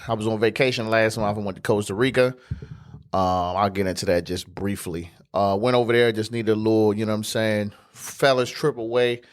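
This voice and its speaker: A man, hosting a casual solo sports podcast in his home studio, stuffy and congested, talking in a relaxed, slangy way. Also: on the low side, fairly quick. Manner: relaxed, conversational, loose slang. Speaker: podcast host.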